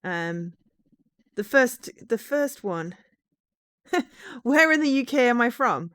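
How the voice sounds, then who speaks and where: Lively young woman, neutral English accent. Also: on the high side, takes it slowly, clean tone, dry recording. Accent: fairly neutral English. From England, East Midlands. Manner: chatty, laughs often, playfully defensive. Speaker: game streamer. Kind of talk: casual live-stream chat while playing a game.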